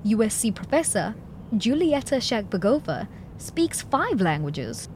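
A young woman narrates a news feature with a bright, animated voice that swings widely in pitch. She sits a little high, and a faint hum runs behind her. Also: unhurried. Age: young adult. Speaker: reporter.

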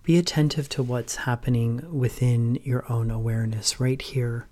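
A man leading a guided meditation as a teacher, in a gentle, measured, calm manner with pauses and soft, breathy phrase endings. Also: mid-range voice.

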